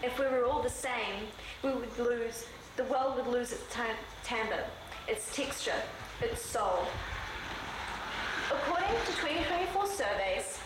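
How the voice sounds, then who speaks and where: A young woman from Sri Lanka raised in New Zealand, delivering a prepared, earnest speech at a measured pace. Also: on the high side. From born in Sri Lanka, raised in New Zealand. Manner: measured, earnest delivery with slowed emphatic phrases. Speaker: student speaker. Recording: very echoey room with background noise.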